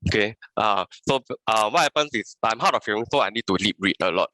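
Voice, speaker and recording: A man's voice: lowish voice, animated, fast talker, pushed and bright, dry recording. A man with a Singaporean accent, speaking casually as a panelist on a video call, chatty and full of tag words and restarts.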